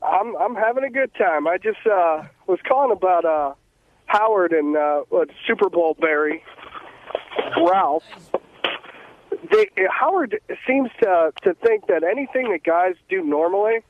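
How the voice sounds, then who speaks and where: A man calling in to a radio show over the phone, chatting casually and haltingly. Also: high voice. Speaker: caller. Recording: tinny phone line with hiss.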